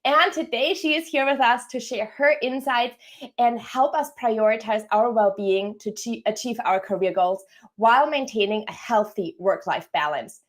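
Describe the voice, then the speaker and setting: A woman from Germany hosts a live-streamed career talk. Her voice sits a little high, and she greets her guest and audience in an upbeat, welcoming way. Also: normal speed. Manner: upbeat, welcoming, scripted-sounding intro. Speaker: host, career coach. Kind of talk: live-stream interview hosting.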